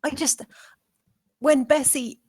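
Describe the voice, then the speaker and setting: A woman playing a timid, apologetic young servant in a period screenplay read, speaking softly and hesitantly. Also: high voice, fairly even intonation, takes it slowly, no room sound. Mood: meek. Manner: soft, hesitant.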